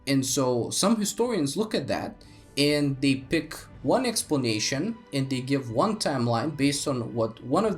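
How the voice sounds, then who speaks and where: A young man, a native Bulgarian speaker, talking through history on his solo podcast, thoughtful with many fillers and restarts. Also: mid-range voice. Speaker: podcast host.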